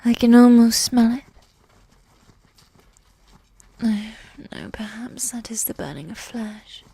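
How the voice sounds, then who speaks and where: A woman playing a possessive, condescending evil warrior queen who dotes on her spouse, speaking in soft, breathy half-whispers in a medieval ASMR roleplay. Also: a little high, lively intonation, heavily acted. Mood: gloating, teasing. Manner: slow, regal, formal phrasing with sighs.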